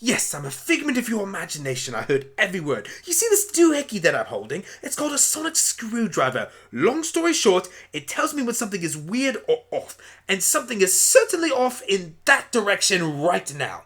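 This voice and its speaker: A man's voice: high voice, huge pitch swings, brisk, hardly any pauses, fairly bright tone, voice pushed up, exaggerated voice. Man playing rueful, whimsical time-traveling alien doctor. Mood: brisk, whimsical. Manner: rueful, rambling, polite. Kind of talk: amateur radio play acting.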